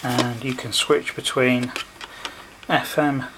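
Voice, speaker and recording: A man presents a hands-on product demonstration in a medium-pitched voice. He goes step by step in short, unhurried phrases. The home recording is plainly noisy, with clicks. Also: level tone. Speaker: product reviewer.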